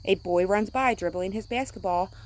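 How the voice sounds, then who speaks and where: A woman's voice: mid-range voice, normal speed. A woman reading a picture book aloud to children, speaking simply like a teacher to young listeners. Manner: acts out sound words.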